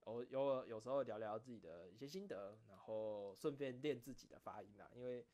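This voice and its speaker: A man's voice: mid-range voice, brisk, dark tone. A man with a Taiwanese Mandarin accent chats casually to his viewers as an online host, rambling a little, correcting himself and repeating phrases. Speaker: online video creator and host. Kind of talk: casual late-night chat to viewers.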